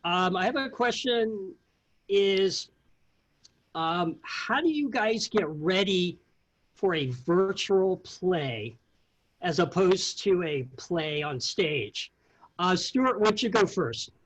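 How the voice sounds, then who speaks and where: A middle-aged man, a theatre sound effects artist, explaining informally in a halting way, searching for words. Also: sits high, lively intonation, a bit bright, low-quality recording.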